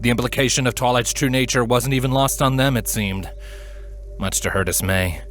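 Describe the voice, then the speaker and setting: A man narrating a scripted story in an expressive, dramatic manner. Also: medium pitch, normal speed, a little hiss or hum. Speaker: fan fiction reader, narrator.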